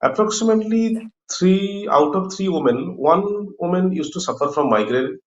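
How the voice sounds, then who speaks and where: Indian guy doctor explaining stuff on an interview, kinda halting, muffled roomy call audio. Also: high-pitched. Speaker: neurologist, expert guest. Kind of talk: explaining medical topic in interview. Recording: dull, echoey remote call audio.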